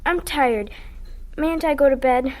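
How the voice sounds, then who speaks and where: A woman's voice: high-pitched, measured pace, a little mellow. A woman playing a sulky, stubborn young schoolgirl in a radio drama, with a blunt, flippant way of talking. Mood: tired, subdued. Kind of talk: radio drama acting and first-person narration.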